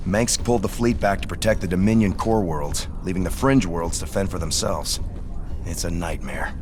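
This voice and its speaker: An actor playing an earnest, dutiful starship officer with a formal, serious manner, in a video game cutscene. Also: male voice, deep voice, normal speed, background noise, lightly acted. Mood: grave, urgent.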